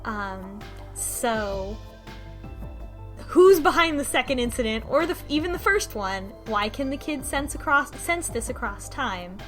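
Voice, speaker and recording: A woman's voice: fairly high pitch, lively intonation, measured pace, fairly bright tone, faint music behind. A woman co-hosting a podcast, casually recapping a story in a conversational way with wry asides. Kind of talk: casual plot recap discussion.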